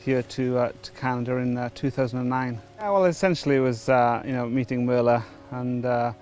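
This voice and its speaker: Halting Welsh man with flat delivery. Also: medium pitch. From Wales. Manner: hesitant, many fillers and restarts. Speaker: church member before baptism. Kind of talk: testimony interview.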